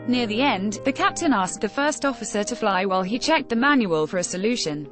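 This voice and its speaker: A woman's voice: medium pitch, lively intonation, a little fast. A woman reading a scripted news report aloud as narrator. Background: background music.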